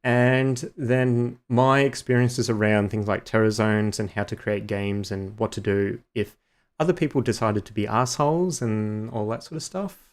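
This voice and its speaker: A young man streaming a game, chatting loosely to viewers with many fillers, his voice slightly hoarse. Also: on the low side, steady tone, moderate pace. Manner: rambling, many ums and uhs. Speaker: gaming streamer. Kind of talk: casual live-stream chat.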